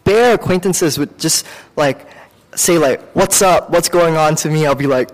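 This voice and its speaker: Male preacher, Singaporean accent, slightly high pitch, informal and halting sermon delivery, recorded with long hall reverb. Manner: conversational, halting, with self-corrections. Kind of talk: sermon with personal anecdotes.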